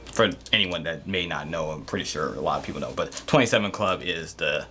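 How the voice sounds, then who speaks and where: A man's voice: extremely low pitch, fairly quick, sharp tone, a little hiss or hum. A man talking casually as the host of a reaction show.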